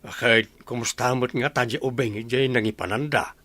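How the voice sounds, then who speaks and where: A man's voice: mid-range voice, fairly even intonation, brisk, sharp tone. A man acting an inquisitive, gossipy villager in a radio drama.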